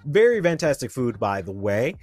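A man's voice: medium pitch, very animated, normal speed, a little mellow. Young man, podcast host, rambling casual storytelling, faint music behind. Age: 20s. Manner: rambling, chatty, addresses listeners directly.

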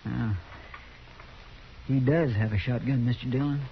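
An American actor playing an anxious, loyal, folksy sidekick in a 1950s radio western, with an earnest, deferential drawl. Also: male voice, mid-range voice, fairly even intonation, a little slow, vocal fry. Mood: worried, pleading. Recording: dull old radio recording, crowd noise behind.

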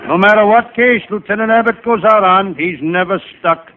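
Man acting private detective spoof, higher male voice, deadpan, wisecracking, old-time radio comedy, faint hiss. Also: normal speed, close to an everyday voice. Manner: deadpan first-person narration with punchlines. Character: wisecracking, hapless private detective narrating his day. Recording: noisy, tinny vintage radio recording.

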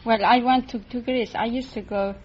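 A woman with a foreign accent, a non-native English speaker, telling her story haltingly in simple broken English as a radio contestant. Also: on the high side, steady tone, slightly rough. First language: not English. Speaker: quiz show contestant. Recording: dull, muffled old radio recording.

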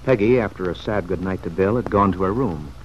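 A man with a lowish voice narrates an old radio serial. His delivery is formal and measured, in 1940s style. The recording is muffled, with faint noise. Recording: dull, noisy vintage radio recording.

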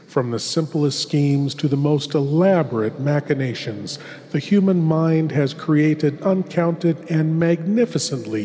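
Man playing a slow, ominous horror host in cavernous reverb. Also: medium pitch, warm tone, slight background noise, natural voice. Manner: slow, deliberate, ornate, ominous. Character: Lord Bloodraw, suave macabre horror host savoring dark tales. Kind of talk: theatrical scripted horror hosting. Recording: long reverberant hall.

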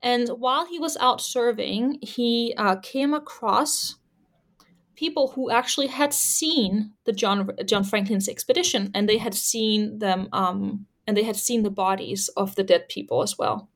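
A woman's voice: mid-range voice, measured pace. A Danish woman, a native Danish speaker, talking as an author about her research in an interview, thoughtful and full of fillers and restarts. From Denmark. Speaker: author and historian guest. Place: Canada.

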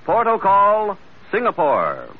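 Animated, high-pitched male radio announcer. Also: slow pace. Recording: dull old radio recording, loud music behind.

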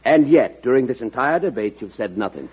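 An actor playing an indignant, hawkish cabinet secretary in old-time radio drama, formal and declamatory. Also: male voice, mid-range voice, expressive, fairly quick, husky. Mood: urgent, insistent. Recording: dull vintage radio recording.

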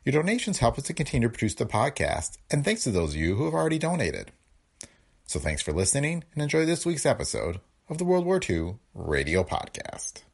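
A man's voice: mid-range voice, huge pitch swings, a little fast, creaky, slightly rough. A man introducing a podcast episode in a friendly, informative way. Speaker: podcast host.

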